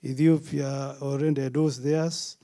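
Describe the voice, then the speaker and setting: A man's voice: mid-range voice, level tone, measured pace, mellow. A man answering a question on a conference panel, hesitant and full of fillers.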